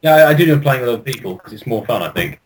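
A man's voice: mid-range voice, lively intonation, rapid-fire, a little hiss or hum, poor audio. A British man chatting casually as a podcast co-host, hesitant and often stammering over repeated words. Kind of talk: casual chat.

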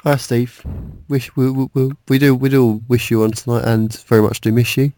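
A man's voice: medium pitch, steady tone, normal speed, warm tone, no room sound, clean studio sound. A British man hosting a podcast in a casual, bantering way. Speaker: podcast host.

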